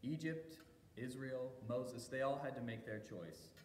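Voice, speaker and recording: A man delivering a sermon as a pastor, telling the story in measured phrases with deliberate pauses and emphasis. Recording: echoey hall reverb, faint low rumble.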